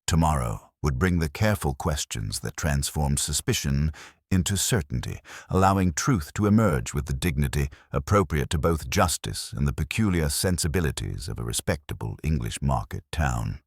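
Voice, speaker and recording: A man reading a scripted mystery story as narrator, in a measured, formal, literary manner. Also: a bass voice, expressive, creaky, breathy edge, no echo, clean studio sound.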